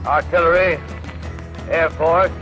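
Slow, grim invasion announcer. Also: male voice, fairly high pitch, steady tone, rough recording. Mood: grim, defeated. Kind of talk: 1930s radio drama acting. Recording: old radio drama, music underneath.

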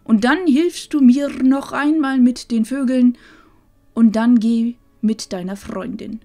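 A woman playing a loving, wise old grandmother in a fantasy audio drama, speaking solemnly and tenderly with breathy phrase endings. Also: fairly high pitch, slow, dark tone, faint music behind. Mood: encouraging.

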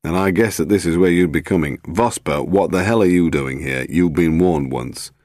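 A man's voice: deep voice, normal speed, darkish tone, no echo, close to an everyday voice. A man voicing a blunt, sardonic British detective in an audiobook, with a dry, commanding manner. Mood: angry command. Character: blunt, sardonic British male police detective, coolly authoritative.

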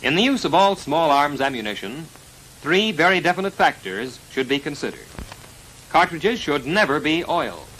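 An American man narrating a 1940s military instructional film in a formal, precise, measured delivery, on a vintage film soundtrack. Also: fairly high pitch, very animated, sharp tone, a little hiss or hum, poor audio. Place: voice-over recorded for a film.

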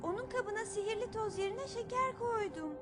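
A woman's voice: very high-pitched, lively intonation, moderate pace, over loud music. A woman playing a young girl, a bossy but caring big sister, in a dubbed children's cartoon. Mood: confiding.

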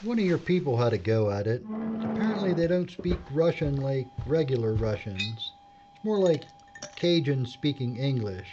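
A man plays a level-headed documentary filmmaker in an audio drama, casual and mildly wry. His pitch is average. The sound is a little dull with faint noise. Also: big pitch swings, moderate pace, natural voice. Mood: polite, matter-of-fact. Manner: matter-of-fact, casual.

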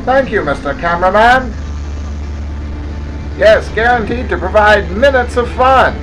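A man's voice: high-pitched, expressive, measured pace, poor audio. A man narrating a parody old-time newsreel in a mock-grandiose announcer delivery.

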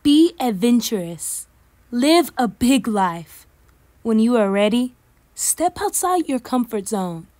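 A young woman teacher reading a picture book aloud to children in an animated, deliberate, encouraging way. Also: sits high. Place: small indoor room against plain backdrop.

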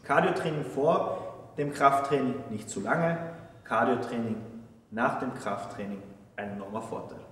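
A young man delivers fitness tips in an upbeat, emphatic style, snapping out short headline phrases. His mid-pitched voice rings through a large, empty gym with a long, roomy echo. He sounds set back from the mic. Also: measured pace, a bit bright, distant mic. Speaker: fitness coach. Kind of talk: scripted tips presentation.

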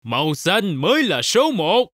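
A man's voice: high-pitched, very animated, unhurried, a bit bright, no room sound, clean studio sound, exaggerated voice. An actor voicing a proud father shark in a Vietnamese children's cartoon dub. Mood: boastful, stubborn.

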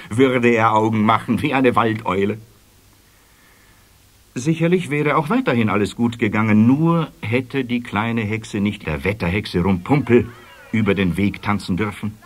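A man narrating a scripted children's fairy tale as the storyteller of a radio play. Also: medium pitch.

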